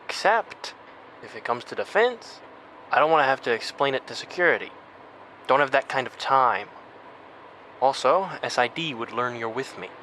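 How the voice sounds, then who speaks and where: Gruff impulsive man, sharp forceful voice, swinging intonation, terse, impatient, audio drama, vehicle ambience. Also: mid-range voice, expressive, moderate pace, pauses often, slight background noise, low-quality recording. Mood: tense, irritated. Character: impulsive, obsessed, gruff male risk-taker.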